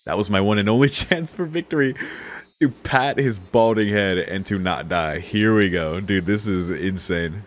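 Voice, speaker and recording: A man's voice: lowish voice, moderate pace. A man streaming a let's play, amused and slangy, commenting casually and reading lines aloud.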